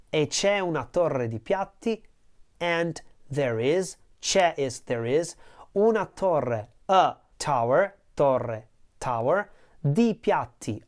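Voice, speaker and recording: A woman's voice: sits low, a little mellow. A woman teaching Italian, translating a song line by line and patiently repeating each word with its meaning.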